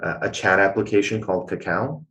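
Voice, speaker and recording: Low-voiced man explaining. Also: a little fast, a bit bright. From Canada. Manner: explanatory, frequent filler sounds. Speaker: guest, blockchain executive. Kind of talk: conversational interview answers.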